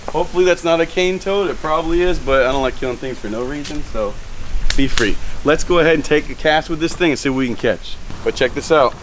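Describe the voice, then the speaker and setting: Young guy fishing outside, chatty and hyped, a bit high voice, faint noise behind. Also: quick pace. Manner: excitable, chatty, talks to viewers while fishing. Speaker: fishing channel host. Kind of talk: casual fishing vlog, talking to viewers. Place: outdoors by a lake and a pool.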